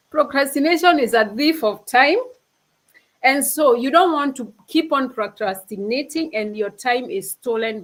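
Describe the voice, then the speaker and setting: A woman's voice: on the high side, poor audio. A young woman from Kenya giving an earnest, casual motivational talk as a panel speaker on a video call. She often restarts her sentences and laughs easily.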